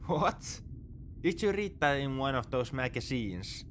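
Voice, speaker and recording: A man playing a begrudgingly soft-hearted space pirate captor in a sci-fi audio roleplay, speaking to the listener in an exasperated, dismissive, teasing way. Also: medium pitch, animated, measured pace, a little hiss or hum, close to an everyday voice. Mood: reluctantly warming. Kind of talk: sci-fi ASMR roleplay acting, addressing the listener.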